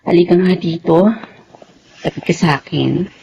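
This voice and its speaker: A woman's voice: fairly low pitch, normal speed, gravelly, dark tone, highs cut off, slight background noise. An actress playing a protective, affectionate single mother with a Filipino accent in a television drama. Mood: tender, playful.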